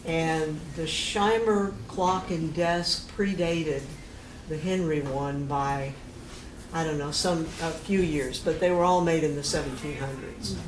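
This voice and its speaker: Mature American woman, informal family historian, detailed with searching pauses, noisy echoey room. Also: deep voice. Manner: detailed, pausing to recollect. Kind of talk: casual explanatory family history talk. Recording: echoey room with clear noise.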